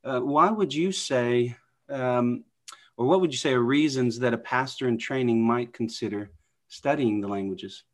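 A man's voice: medium pitch, moderate pace. A man hosting an interview podcast, upbeat and affirming, introducing guests and asking questions. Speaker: podcast host.

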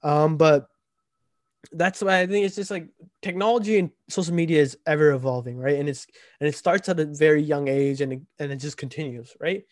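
A man's voice: on the high side, fairly even intonation, a little fast, no room sound, clean studio sound. A twenty-year-old man hosting a casual podcast chat, rambling with lots of fillers and frequent "right?" check-ins. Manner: rambling, filler-heavy, keeps asking "right?". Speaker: podcast host.

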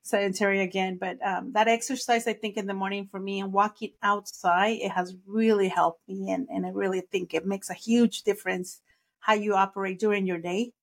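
A woman's voice: medium pitch, steady tone, brisk, creaky. A woman from Mexico, a native Spanish speaker, hosting a podcast in an upbeat, encouraging, casual way. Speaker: podcast host. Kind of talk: casual interview chat.

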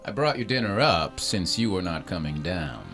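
A man's voice: deep voice, expressive, moderate pace, fairly bright tone, faint music behind. An actor playing a young girl's caring father in an audio story. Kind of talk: audio theater acting.

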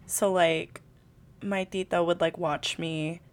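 A woman's voice: fairly low pitch, steady tone, takes it slowly. A young woman from California, a podcast co-host, chatting casually in long rambling answers full of "like" and laughs. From California, USA. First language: Tagalog.

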